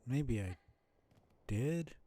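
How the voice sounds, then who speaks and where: A man's voice: medium pitch, lively intonation, drawn-out words, warm tone. A man streaming a video game with casual live commentary, musing aloud to himself and swearing now and then.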